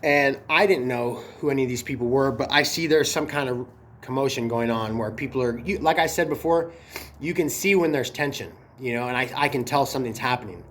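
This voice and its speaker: A man tells a story in a loose, slangy, conversational way. He has a cold, so his voice sounds a little stuffy and sniffly. His pitch sits in the middle, and there is a faint hiss in the background. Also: fairly quick, fairly bright tone. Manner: laid-back slangy delivery. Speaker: host. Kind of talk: casual storytelling.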